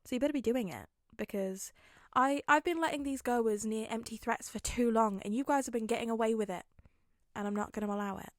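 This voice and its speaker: A woman's voice: a little high, brisk, no echo, clean studio sound. A woman who reads fan fiction aloud and hosts her own channel. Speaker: podfic reader and channel host. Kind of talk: fan fiction narration and chatty outro.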